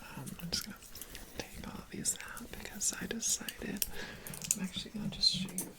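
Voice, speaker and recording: A woman doing a whispered ASMR roleplay as an awkward, shy organ harvester, speaking haltingly and repeating phrases softly. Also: mid-range voice. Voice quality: breathy whisper with fry. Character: awkward, shy female organ harvester prepping a living patient.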